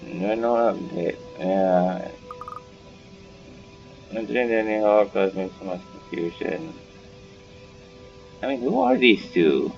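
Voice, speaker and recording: A man's voice: low-pitched, big pitch swings, slow, gravelly, long pauses, darkish tone, muffled recording. A man giving casual playthrough commentary as a gaming host, muttering and thinking aloud. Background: game music.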